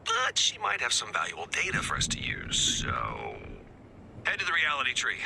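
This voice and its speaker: A wisecracking field agent with a swooping delivery. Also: male voice, mid-range voice, wildly swooping pitch, moderate pace, gravelly. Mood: wry. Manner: casual, wisecracking. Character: wry male field agent leading the mission. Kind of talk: video game voice acting. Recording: game audio with background noise.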